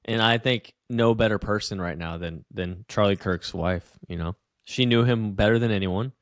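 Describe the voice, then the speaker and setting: Young man, low-pitched, earnest and halting. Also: no room sound, studio quality. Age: young adult. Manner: earnest, halting with repeated words. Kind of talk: casual discussion.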